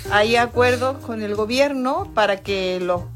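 A woman speaks in a fairly high voice, explaining informally as a teacher would. Music plays clearly behind her. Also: unhurried. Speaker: religion teacher. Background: background music.

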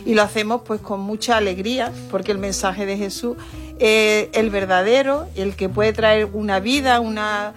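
A woman's voice: mid-range voice, steady tone, normal speed, soft background music. A woman, a religion teacher, explaining things earnestly and steadily on a panel talk show.

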